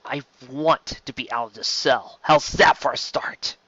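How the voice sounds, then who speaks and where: A man's voice: mid-range voice, a little slow, bright, forceful tone, no echo, dull audio. A man playing a bitter, defiant male war captain held prisoner in an audiobook, with a sardonic manner. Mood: dry defiance. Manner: sardonic, embittered.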